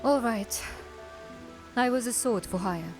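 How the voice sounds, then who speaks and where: A woman playing a wry, guarded dark elf assassin in a cinematic fantasy drama, with a musing, teasing manner. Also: a little high, slow pace, music in the background. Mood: guarded, confessional.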